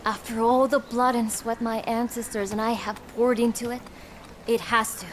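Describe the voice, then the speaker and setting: Game blacksmith, female actor: proud, earnest, determined woman, slightly high pitch, light background noise. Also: flat delivery, normal speed, natural voice. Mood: determined, hopeful. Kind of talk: video game cutscene acting.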